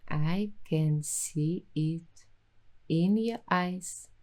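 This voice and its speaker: A woman hosting a soft-spoken ASMR roleplay, talking dreamily and intimately to the listener. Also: deep voice. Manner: slow, dreamy, intimate, addressing the listener directly.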